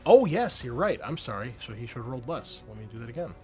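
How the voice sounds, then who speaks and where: Male game master, mid-pitched voice, casual and explanatory, music underneath. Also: big pitch swings, quick pace. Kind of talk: casual tabletop game narration.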